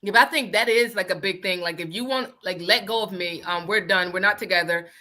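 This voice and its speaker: A deep-voiced woman from New Jersey hosts a live call-in style podcast, her tone bright and forward. She chats loosely and keeps restarting her sentences as she goes. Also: brisk. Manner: chatty, self-interrupting, restarts sentences. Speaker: podcast host. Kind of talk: casual live podcast chat.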